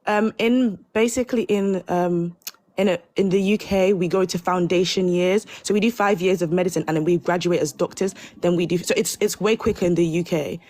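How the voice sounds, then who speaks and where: A woman's voice: on the low side, steady tone, fairly quick, a little mellow, no echo. Hesitant young woman from the UK. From United Kingdom. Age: 20s. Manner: hesitant, apologetic, many fillers. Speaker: guest. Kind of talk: casual live panel chat.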